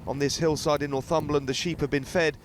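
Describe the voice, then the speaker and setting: A man delivering a scripted TV news report in a measured, formal way. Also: a little high, steady tone. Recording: outdoor news report with street noise.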